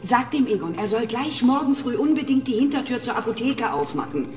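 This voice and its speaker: A woman's voice: fairly high pitch, lively intonation, brisk, a little mellow, echoey room, faint music behind, natural voice. A woman playing an eccentric, cheeky and defiant lady in a children's radio play. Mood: conspiratorial.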